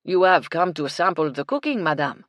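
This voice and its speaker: A woman's voice: lowish voice, big pitch swings, moderate pace, a bit bright, dry recording. A woman voicing a volatile male French chef with a French accent, pompous and grumbling in a theatrical way.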